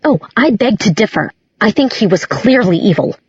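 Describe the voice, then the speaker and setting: Distraught teenage girl played by a female narrator, bitter and incredulous, slightly husky, dry close sound, audiobook drama. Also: mid-range voice, lively intonation, normal speed, no room sound, highs cut off. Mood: indignant. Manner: incredulous, emotional, emphatic.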